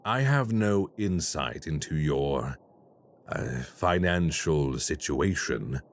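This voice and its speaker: An actor playing a polite, quietly menacing male crime boss in an audio roleplay. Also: rumbling low, lively intonation, heavily acted. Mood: smooth menace. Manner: slow, courteous and quietly menacing.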